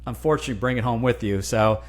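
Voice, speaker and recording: A man from New Jersey whose first language is Italian, speaking as a guest in a casual interview, animated and often restarting words. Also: medium pitch, fast. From New Jersey, USA. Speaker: guest, franchise consultant.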